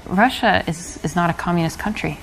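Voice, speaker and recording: Calm, earnest woman with a low voice. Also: fairly quick, vocal fry, soft background music, poor audio. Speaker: actress playing the date in a dating game. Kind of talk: scripted acted dialogue.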